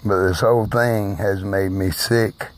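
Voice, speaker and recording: A man speaking slowly and haltingly in a personal video monologue, who is hard of hearing and raises his voice at times. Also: on the low side, fairly even intonation, low-quality recording. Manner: slow, halting, emphatic delivery.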